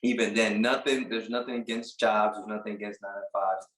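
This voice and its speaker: A man in his late twenties from Puerto Rico, a barber telling his story in a casual interview, relaxed and halting with many fillers. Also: on the low side, roomy sound, rough recording. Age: late 20s. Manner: relaxed, halting, lots of "like" and restarts. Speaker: barber and business owner, guest.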